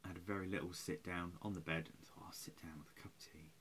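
A man's voice: low-pitched, steady tone, fast, a bit bright, a little hiss or hum. A man of about forty, tired and rambling, swearing and mocking himself in a home webcam vlog. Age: 40s. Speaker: vlogger. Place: small room at home, indoors. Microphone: webcam at close range.

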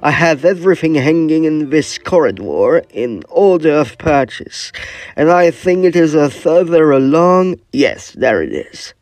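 Audio drama casting: male actor as excitable, pompous Edwardian art lover, lively intonation, slightly high pitch. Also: unhurried, no room sound, soft background music. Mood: genial. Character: genial, excitable Edwardian gentleman art collector.

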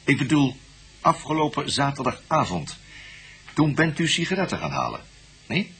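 An actor playing a patient, coaxing male police investigator in a radio drama, repeating himself and prompting gently. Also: on the high side, very animated, normal speed. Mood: patient probing.

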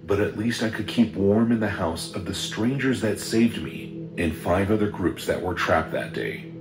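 A man reading a first-person horror story in a steady, measured storytelling voice. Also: low-pitched. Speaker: narrator. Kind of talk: scripted horror story narration. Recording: roomy sound with music underneath.

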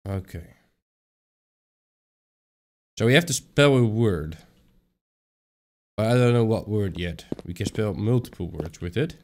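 Man, low-pitched, swooping intonation, casual gameplay chatter, thinks aloud. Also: lively intonation, measured pace, pauses often, slight background noise. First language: Dutch. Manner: rambling, thinks aloud with self-corrections. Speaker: let's play gamer. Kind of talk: casual horror game commentary.

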